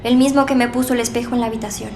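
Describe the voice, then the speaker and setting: An actress playing an earnest young kidnapping victim giving deliberate testimony in an audio drama. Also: female voice, on the high side, flat delivery, darkish tone, faint music behind, close to an everyday voice. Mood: firm, certain.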